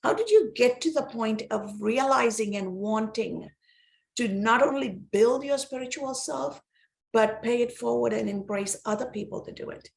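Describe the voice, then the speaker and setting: A woman hosting a wellness podcast, her voice fairly high with a gravelly edge. She speaks slowly and with emphasis, drawing out her words to inspire her guest. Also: lively intonation. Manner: slow, emphatic, inspirational delivery. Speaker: podcast host.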